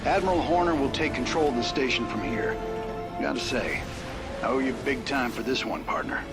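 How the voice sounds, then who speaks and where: A wry, weary rebel commander, acted by a man, drawls with an American Southern accent. The game cutscene voice is informal and sounds echoey and far from the mic, under loud music. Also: medium pitch, lively intonation, brisk, natural voice. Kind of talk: dramatic video game cutscene acting.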